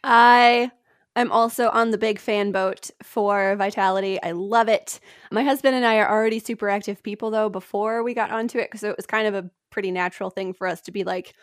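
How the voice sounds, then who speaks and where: A woman's voice: medium pitch, fairly even intonation, fairly quick, dry recording, studio quality. A young married woman, a podcast guest in casual chat, telling stories with enthusiasm and frequent giggles.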